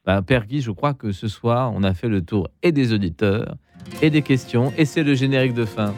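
A man's voice: mid-range voice, normal speed, darkish tone, faint music behind. A man hosting a call-in radio show, leading the questions in a friendly way. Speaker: radio host.

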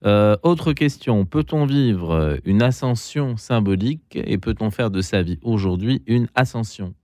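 A man's voice: lowish voice, expressive, measured pace, mellow, no room sound, studio quality. A man hosting a call-in radio show, leading the questions in a friendly way. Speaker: radio host.

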